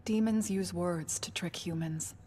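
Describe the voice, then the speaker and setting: A woman playing a stern, detached elf mage who speaks deliberately and severely in an English anime dub. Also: fairly low pitch, darkish tone, slight background noise, natural voice. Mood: grave, detached. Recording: music behind the voice.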